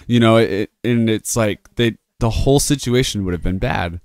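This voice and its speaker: A man in his twenties with a lowish, smooth voice, hosting a podcast. He rambles casually, swears freely and laughs often. The recording is close and dry. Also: normal speed, a little mellow, dry recording. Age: 20s. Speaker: podcast host. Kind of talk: casual chat.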